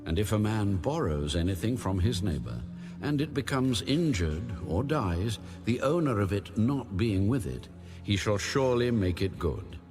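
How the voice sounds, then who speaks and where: Low male bible narrator, formal and measured, pitch moves around a lot, music playing behind. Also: expressive. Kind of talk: scripted scripture narration. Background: music under the voice.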